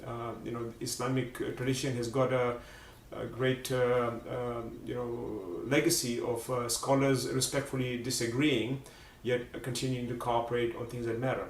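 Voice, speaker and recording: A man hosting a live religious program, speaking haltingly with many fillers. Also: medium pitch, little pitch movement, slight background noise. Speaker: program host. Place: Seattle area. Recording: roomy, distant mic.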